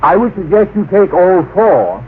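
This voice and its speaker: An actor playing a cold, formal alien officer who politely disdains humans, in old radio drama style. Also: male voice, high voice, big pitch swings, unhurried. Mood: detached, polite. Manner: formal, deferential, clipped. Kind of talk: 1940s-50s radio drama acting. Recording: tinny old radio broadcast, faint noise.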